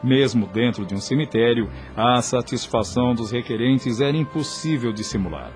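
A man's voice: medium pitch, moderate pace, a little mellow, faint music behind. A man giving scripted narration for a radio soap opera in a solemn, literary manner.

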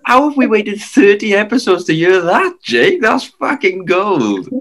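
A male podcast host with a lively, swooping voice pitched slightly high. He teases his guests and jokes in a casual, laughing way. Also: measured pace, crowd noise.

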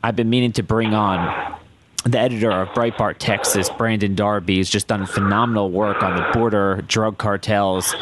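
A man hosting a political podcast, hoarse and losing his voice, speaking in emphatic, halting phrases. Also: fairly low pitch, fairly even intonation, heavy background noise. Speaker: podcast host. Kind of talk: political monologue and guest introduction.

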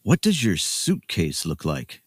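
A co-host in a comedic audio sketch, making dry, deadpan wisecracks. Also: deep voice, measured pace, husky, no room sound.

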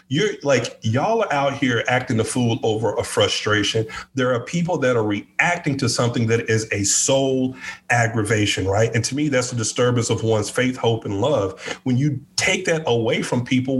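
A man from Arkansas, talking about faith in an animated, joking, preacherly way. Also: mid-range voice, normal speed, slight background noise. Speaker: podcast guest. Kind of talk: casual conversation on faith.

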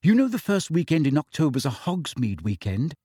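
Male reader doing a keen, bossy schoolgirl witch, raised pitch, dry close sound. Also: very animated, a little fast, warm tone, husky, no echo. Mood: earnest. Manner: eager, lecturing. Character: earnest, bossy teenage girl student witch.

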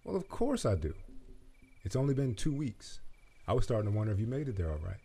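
Man voicing a soft, motherly ladybug. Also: fairly low pitch, big pitch swings, fast talker, gravelly, breathy edge, pitched above the performer's own voice, heavily acted. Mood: warm teasing. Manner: tender, affectionate. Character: gentle motherly female ladybug elder.

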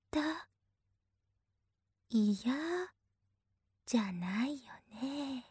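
A woman's voice: sits high, warm tone, no room sound, clean studio sound. A woman playing an obsessive, possessive big sister in a voice drama, coaxing sweetly with an unhinged edge. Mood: pleading, clingy. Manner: clingy, coaxing, slipping into intense whispers. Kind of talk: Japanese situation voice drama acting.

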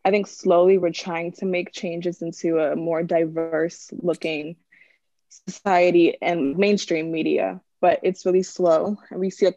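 A woman's voice: fairly low pitch, mellow, no room sound, highs cut off. A woman in her twenties speaking earnestly as a podcast guest. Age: 20s. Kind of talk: casual group chat.

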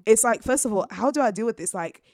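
A woman's voice: on the high side, quick pace. A young woman born in Zambia, a podcast guest talking casually and animatedly, laughing often. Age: young adult. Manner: animated, laughs often, says "do you know what I mean".

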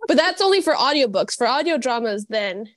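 A woman's voice: on the high side, sing-song, fairly quick, no room sound. A woman giving her views in a casual podcast discussion as one of the panelists.

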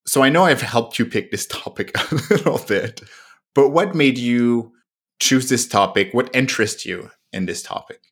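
A man hosting a podcast interview, easygoing and quick to laugh between questions. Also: mid-range voice, a bit bright, husky. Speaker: podcast host.

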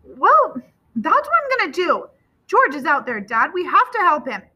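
A woman's voice: on the high side, expressive, moderate pace, pushed and bright, muffled recording, voice pushed up. A woman voicing an earnest, defiant teenage boy in a story, arguing urgently with his parents. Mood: pleading, defiant. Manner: urgent, argumentative, indignant.

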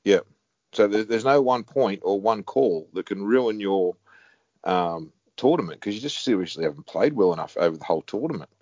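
Deep-voiced blunt referee man. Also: expressive, normal speed, darkish tone, no echo, lo-fi sound. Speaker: head referee. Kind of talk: casual conversation.

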